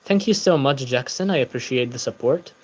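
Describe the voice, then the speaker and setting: A man's voice: mid-range voice, expressive, brisk, darkish tone, husky. A tired young man hosting a casual book-channel livestream, chatting and answering viewer comments.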